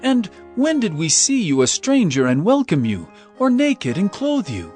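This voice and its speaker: Male reader as humble, earnest questioner, raised swooping pitch, music behind, scripture drama. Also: wildly swooping pitch, a little slow, darkish tone, exaggerated voice. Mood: puzzled. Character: humble, puzzled righteous people questioning their Lord.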